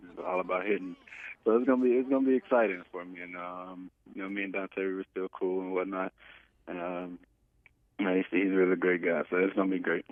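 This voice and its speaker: A man in his twenties, a football player, giving a casual interview by telephone in halting, hesitant phrases. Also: sits low, flat delivery, no room sound. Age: 20s. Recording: tinny, band-limited phone line.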